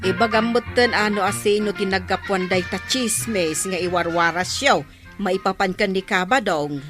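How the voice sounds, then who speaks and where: A woman's voice: mid-range voice, fairly even intonation, moderate pace, music in the background, natural voice. A woman acting a businesslike village councillor in an Ilocano comedy drama, with an insistent, questioning manner and an Ilocano accent. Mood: probing.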